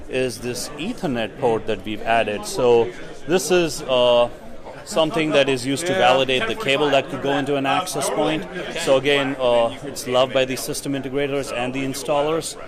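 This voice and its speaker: Man demonstrating a product amid crowd noise. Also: medium pitch. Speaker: product representative giving a demo. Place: indoor tech conference event. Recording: busy, noisy conference hall crowd. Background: crowd chatter.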